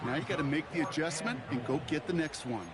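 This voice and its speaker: A man's voice: on the high side, expressive, fast, close to an everyday voice. A man playing an American color commentator, explaining plays in an emphatic, folksy, conversational way. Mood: analytical, emphatic. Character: folksy, emphatic male football analyst. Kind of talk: football analysis commentary. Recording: loud stadium noise behind voice.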